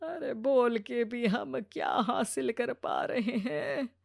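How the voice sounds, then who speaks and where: A woman plays an older cartoon mother-in-law, long-suffering and motherly. Her voice is high and slow, with a weary, plaintive manner. The sound is dry. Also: gravelly, dry recording, heavily acted. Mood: resigned. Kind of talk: cartoon story character voice.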